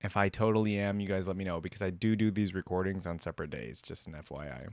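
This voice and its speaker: A man with a low voice hosts a reaction video, commenting casually. He repeats himself and echoes lines he has just heard. The recording is dry and close. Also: fast talker, a little mellow. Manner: repeats words and quotes back what is heard.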